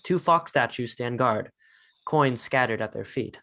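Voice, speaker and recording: A man's voice: medium pitch, measured pace, bright, forceful tone. A man reading stage directions as the narrator of a scripted drama.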